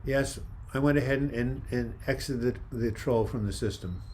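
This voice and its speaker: An older man hosting a casual live chat, reading viewer comments in a calm, soft-spoken way with frequent restarts. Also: medium pitch, normal speed, slight background noise. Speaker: livestream host.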